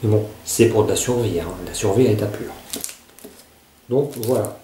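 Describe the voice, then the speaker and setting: A man's voice: on the low side, moderate pace, mellow, a little hiss or hum. A man casually reviewing survival gear to camera. He often hesitates and searches for his words. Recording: echoey room.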